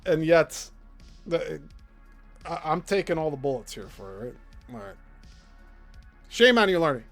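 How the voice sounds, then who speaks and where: Midwestern male streamer, slow, blunt, exasperated, faint music bed. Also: fairly high pitch, sing-song, vocal fry, fairly bright tone. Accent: Midwestern American. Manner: blunt, exasperated, laughs often. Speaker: show host. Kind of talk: live-stream commentary on played clips.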